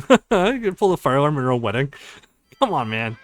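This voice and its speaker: A man's voice: on the high side, very animated, fairly quick, no room sound. A man hosting a let's play, reading a visual novel aloud expressively with casual reactions.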